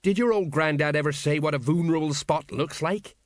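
A man's voice: a little high, fairly quick, dry recording, clean studio sound, natural voice. A man voicing a blustering, anxious older watch sergeant who grumbles in colloquial speech, in an audiobook story. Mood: nervous bluster.

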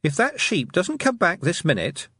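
A man's voice: sits high, huge pitch swings, normal speed, fairly bright tone, husky, no echo, clean studio sound, voice pushed up, full character voice. A man voicing a stern, stubborn young farm girl in a storybook, with emphatic, indignant delivery.

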